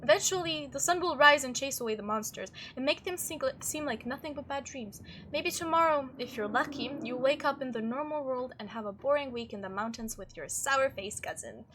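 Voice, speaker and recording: A woman playing the measured, suspenseful narrator of a spooky visual novel, reading in a soft storybook style. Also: high-pitched, slight background noise. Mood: hushed, uneasy. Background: faint game music.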